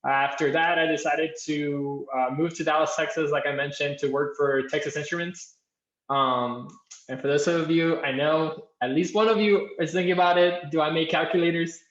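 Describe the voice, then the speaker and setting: A man's voice: a little high, a bit bright. A young man from Colombia, an engineer and alumnus guest, introducing himself in a casual, chatty way with light humor on a video call. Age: young adult. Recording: echoey, dull-sounding room.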